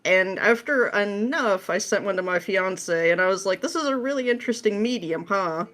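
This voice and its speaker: A woman being interviewed as a guest, chatting casually in a jokey, animated manner. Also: medium pitch, normal speed. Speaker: podcast guest, script writer.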